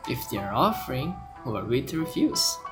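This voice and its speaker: A woman's voice: extremely low pitch, sing-song, normal speed. A woman casually voicing game dialogue lines. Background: game music.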